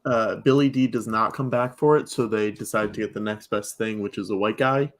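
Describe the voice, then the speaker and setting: A man's voice: fairly low pitch, steady tone, fairly quick. A man talking casually in a podcast discussion. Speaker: podcast speaker. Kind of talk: casual chat.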